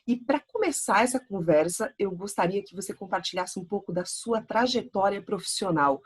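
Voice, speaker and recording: A woman's voice: medium pitch, lively intonation, normal speed, breathy edge. A Brazilian woman hosting an interview, with a chatty, enthusiastic way of asking questions. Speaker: host.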